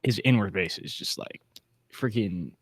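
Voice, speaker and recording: A man co-hosting a podcast, chatting casually with excitable, slangy commentary. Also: on the low side, measured pace, breathy edge, no echo. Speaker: podcast co-host. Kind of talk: casual chat, giving competition commentary.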